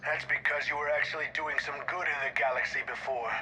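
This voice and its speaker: A man's voice: a little high, steady tone, fast, bright, forceful tone, slight background noise, rough recording. An actor playing a frightened, bitter alien male ambassador who speaks tensely in a game cutscene. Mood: angry. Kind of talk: video game cutscene acting.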